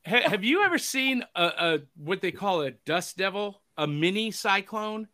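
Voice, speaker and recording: A man's voice: high-pitched, animated, moderate pace, sharp tone, dry recording, studio quality. A man hosting a pop culture podcast, upbeat and jokey in casual chat. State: hoarse from overuse. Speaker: podcast host.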